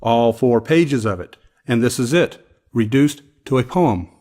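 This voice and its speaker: A man's voice: mid-range voice, animated, measured pace, a little mellow. A man reading a first-person narrative poem aloud. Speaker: poetry reader.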